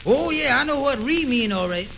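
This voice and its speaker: A man playing a naive, slow-witted, questioning fellow in a 1920s radio comedy, with puzzled, halting, folksy ungrammatical talk on an old recording. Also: very high voice, lively intonation, pushed and bright, muffled recording, slight background noise, heavily acted. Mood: indignant.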